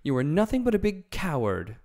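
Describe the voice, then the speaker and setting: A man's voice: high-pitched, expressive, drawn-out words, a little mellow, smooth, pitched above the performer's own voice, full character voice. A man voicing a brave young girl in a storybook reading, scolding and earnest. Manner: indignant, scolding.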